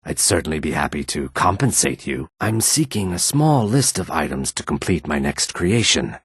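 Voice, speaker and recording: An actor playing a weary, guarded male lizard-folk character in a fantasy video game, speaking in a measured, formal way with a raspy, rumbling voice. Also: very animated, no room sound, clean studio sound, full character voice. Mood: earnest, courteous. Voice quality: raspy, husky, low rumbling.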